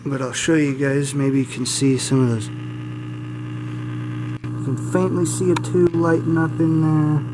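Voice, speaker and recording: A man talking casually and informally as he gives a hands-on demonstration, rambling a little and self-deprecating. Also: medium pitch. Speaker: hobbyist video host. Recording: steady mains hum and room noise. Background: electrical hum and faint static.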